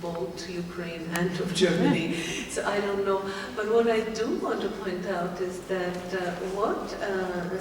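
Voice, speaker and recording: A woman anthropologist answering audience questions after a lecture, hesitant with many fillers. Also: lowish voice. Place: lecture hall. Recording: echoey hall, distant mic, background noise.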